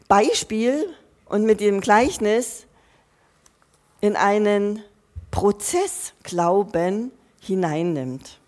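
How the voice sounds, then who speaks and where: A woman delivering a sermon at an unhurried, slow pace. Her voice sits in a middle pitch, and she talks to her listeners in an earnest, personal way. She often restarts and repeats phrases as she works through her thoughts. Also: darkish tone. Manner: restarts and repeats phrases while thinking aloud. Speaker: preacher.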